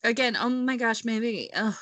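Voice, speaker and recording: A woman's voice: a little high, normal speed, fairly bright tone, dry recording. A woman chatting informally about a book, in a hesitant, self-deprecating way. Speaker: book read-along panelist. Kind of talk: casual chat.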